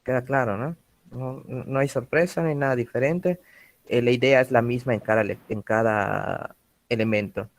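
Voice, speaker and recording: A man's voice: medium pitch, normal speed, no room sound. Man teaching, dry close sound. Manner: casual, asks students for questions. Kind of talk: online class explanation.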